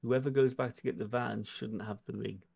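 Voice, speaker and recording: Gravelly man planning, slightly muffled. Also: mid-range voice, fairly even intonation, brisk, a little mellow. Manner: takes charge, proposing plans. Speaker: tabletop game player. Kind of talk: casual in-game planning chat.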